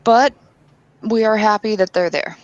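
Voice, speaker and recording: A woman's voice: medium pitch, a little slow, dull audio. A woman playing a tabletop roleplaying game, speaking in character, earnestly and with some hesitant restarts.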